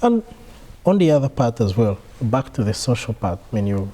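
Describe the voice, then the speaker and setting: A man's voice: mid-range voice, big pitch swings, normal speed, mellow. A man hosting a casual interview for university staff, asking rambling questions and murmuring agreement constantly.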